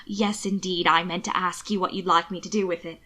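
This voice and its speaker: A woman's voice: lowish voice, steady tone, fairly quick, bright, forceful tone, voice pushed down. A woman voicing a kind male valet in an audiobook, polite, attentive and reassuring. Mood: kind, deferential. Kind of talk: audiobook character dialogue.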